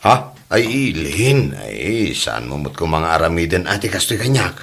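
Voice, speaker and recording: A man's voice: deep voice, very animated, unhurried, fairly bright tone, heavily acted. A man acting as a flustered, henpecked married son in a comedy drama, stammering and pleading hesitantly to keep his mother happy.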